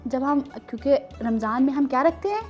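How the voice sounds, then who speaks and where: A woman's voice: high voice, animated, brisk, a little mellow, muffled recording, faint music behind. A Pakistani-accented woman hosting a children's show, speaking in an encouraging, teacherly way to young guests and viewers. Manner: encouraging, teacherly, with question prompts.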